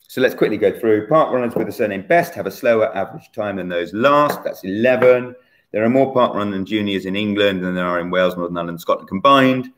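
A man in his forties hosts a relaxed online quiz, ribbing the people he names and laughing at himself. His voice sits fairly low. It is picked up by a laptop webcam in a bright room at home. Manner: chatty, teasing, self-mocking. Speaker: quiz host. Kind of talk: casual quiz hosting.

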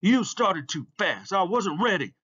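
A man's voice: medium pitch, very animated, a little slow, pushed and bright, husky, lo-fi sound, full character voice. A man voicing a cocky, boastful boy racer in a storybook, brash and emphatic. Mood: indignant.

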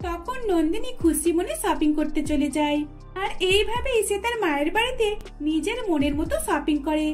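A woman's voice: very high-pitched, a little mellow. A woman narrating a scripted cartoon story.

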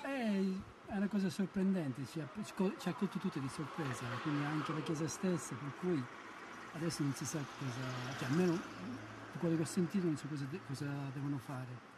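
Middle-aged guy by a car, calm and measured, street noise. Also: a little high. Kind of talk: street vox pop. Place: beside a car outdoors.

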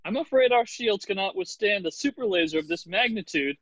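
A man's voice: high-pitched, expressive, brisk, a bit bright, no echo. A man voicing a fussy, worried, formal protocol robot in a script table read, on a dull lo-fi call. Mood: fretful.

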